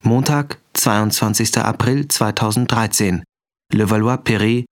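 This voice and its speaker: A man's voice: on the low side, a little slow. A man reading scripted scene headings as an announcer in a radio drama. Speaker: announcer of scenes, dates and places.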